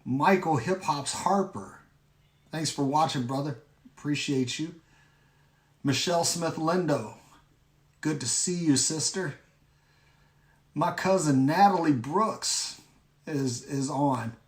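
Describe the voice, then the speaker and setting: A middle-aged American man with a slightly raspy voice, hosting an online ministry livestream in a casual, folksy way and addressing viewers directly. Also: fairly high pitch, slow, long pauses. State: raspy voice today. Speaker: online ministry host and teacher. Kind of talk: casual livestream talk with shout-outs.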